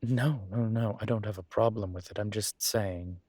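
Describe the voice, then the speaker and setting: Casting: male actor for ancient demon teacher, intimate roleplay audio. Deep, husky tone, courteous, measured and a little hesitant, with a teasing streak. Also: a little mellow, no room sound, close to an everyday voice. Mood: gently teasing. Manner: measured, hesitant, formal wording. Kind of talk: intimate one-sided audio roleplay acting.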